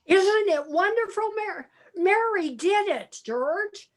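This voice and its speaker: A woman playing a kindly, excitable uncle with halting speech in an amateur radio play over a video call. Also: very high-pitched, exaggerated voice. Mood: joyful excitement.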